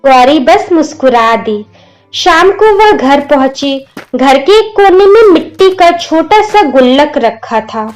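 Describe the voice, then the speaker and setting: Female storyteller for scripted moral tale, high register, unhurried pace, music bed under the voice. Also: expressive, poor audio. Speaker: narrator. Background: instrumental music.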